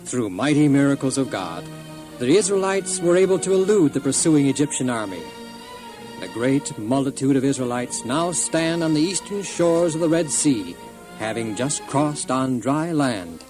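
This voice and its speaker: A man's voice: medium pitch, animated, moderate pace, music in the background, poor audio. A man narrating a scripted children's Bible story in a solemn, dramatic storytelling manner.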